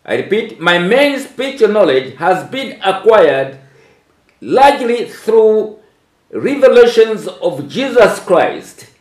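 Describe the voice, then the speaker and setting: A Tanzanian man in his seventies with a Tanzanian accent, a preacher speaking deliberately, phrase by phrase, often repeating himself. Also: sits high, sing-song. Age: 70s. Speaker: archbishop. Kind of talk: religious address given phrase by phrase for an interpreter. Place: small tiled room indoors. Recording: echoey room.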